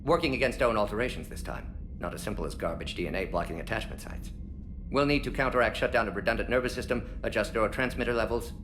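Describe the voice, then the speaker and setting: An actor playing a brisk, confident alien scientist in a video game, talking in clipped, telegraphic phrases. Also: male voice, on the low side, music in the background, natural voice. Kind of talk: video game character acting.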